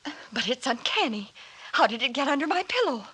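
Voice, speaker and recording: Very high-voiced, fragile young woman. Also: big pitch swings, fairly quick, fairly bright tone, husky, full character voice. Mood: bewildered. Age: young adult. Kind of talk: 1940s radio acting. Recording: vintage old-time radio recording.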